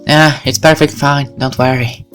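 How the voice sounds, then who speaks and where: A man's voice: medium pitch, steady tone, normal speed, fairly bright tone, pitched above the performer's own voice, natural voice. A man voicing a cool, blunt, reluctant teenage schoolgirl in a visual novel, with a casual, curt manner, over music. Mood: easygoing.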